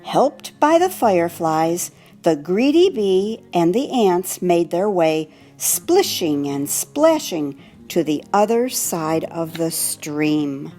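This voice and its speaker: A woman reading a children's storybook aloud in an expressive, animated way. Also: on the low side, soft background music. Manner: slow, animated delivery for children. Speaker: volunteer storybook reader.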